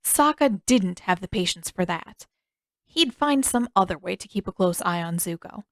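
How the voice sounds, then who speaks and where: A woman reading scripted fiction as an expressive audiobook narrator. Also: mid-range voice, normal speed, dry recording. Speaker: narrator and author.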